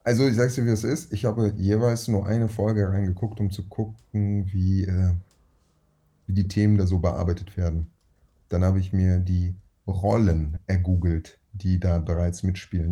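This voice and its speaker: A man's voice: sits low, dark tone, husky. A man from Uzbekistan whose first language is Russian, telling stories as a guest in a casual interview in slangy, emphatic speech. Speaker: actor guest.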